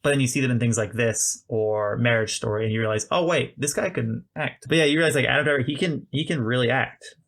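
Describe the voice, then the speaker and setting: Gravelly-voiced man chatting casually. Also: mid-range voice, fast, few pauses, fairly bright tone. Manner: chatty, rambling, frequent "like" and restarts. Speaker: podcast host. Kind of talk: casual film discussion.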